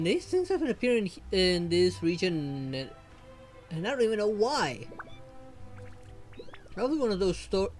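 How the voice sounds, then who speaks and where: Excitable woman streamer with lively pitch. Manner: chatty, excitable, laughs often. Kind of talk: casual gameplay commentary. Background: faint game music.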